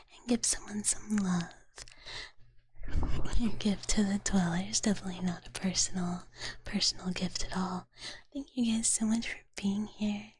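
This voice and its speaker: A woman streaming ASMR in a soft, gentle whisper, often repeating her phrases, her voice a little tired. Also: medium pitch, poor audio. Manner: speaks of herself in third person. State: voice tiring, slightly strained. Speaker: live streamer hosting as a virtual character.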